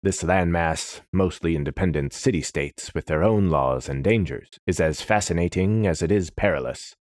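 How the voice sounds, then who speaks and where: A man voicing a scarred, grey-bearded old general in a fantasy story, rumbling, blunt and commanding. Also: normal speed, a little mellow, dry recording, clean studio sound, close to an everyday voice. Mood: grave, measured.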